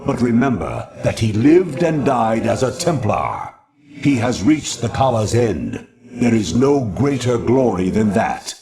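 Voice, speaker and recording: A man's voice: medium pitch, very animated, a little slow, crowd noise. A man voicing a stern, haughty alien judicator in a video game, speaking in formal, pompous declamation. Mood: solemn.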